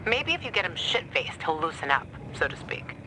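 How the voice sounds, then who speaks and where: A street-tough female ally in a video game. She is blunt, wry and teasing, heard with clear noise behind her. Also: low-pitched, very animated, moderate pace. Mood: dry, teasing. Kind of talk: video game acting, conversational advice.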